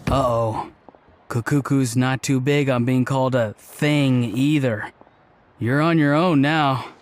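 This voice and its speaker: A laid-back, deadpan young man from a video game, voiced by a man. His voice sits in a middle range and stays relaxed and dry. A faint hiss lies under the recording. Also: medium pitch, takes it slowly, lightly acted. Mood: casual. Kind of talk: video game cutscene voice acting.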